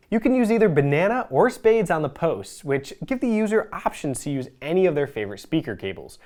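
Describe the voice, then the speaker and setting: A presenter reading a scripted product review in a measured, explanatory way. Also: medium pitch.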